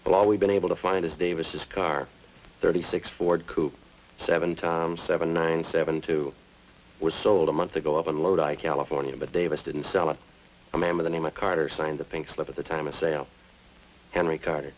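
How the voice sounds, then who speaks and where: Very deep, terse police sergeant. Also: male voice, little pitch movement, a little fast, muffled recording. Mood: matter-of-fact. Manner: clipped, matter-of-fact, understated. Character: terse, methodical male police sergeant interrogating a suspect. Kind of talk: 1950s radio crime drama acting. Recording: noisy old-time radio recording.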